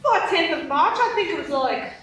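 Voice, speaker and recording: A daft, cheeky hatter man, as a woman puts him on, high, bright and bouncy, flustered but quick with a comeback. The radio-play sound is roomy and echoey. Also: animated, recorded from a distance. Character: daft, cheeky male hatter, poor and nervous. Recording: echoey stage, faint noise.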